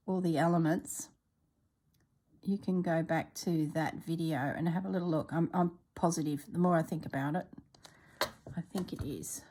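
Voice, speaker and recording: A woman narrating her crafting work in a soft, relaxed voice, sometimes dropping to a whisper, congested and clearing her throat. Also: low-pitched, normal speed, low-quality recording. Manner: soft-spoken, often drops to a whisper while working. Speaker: craft video maker.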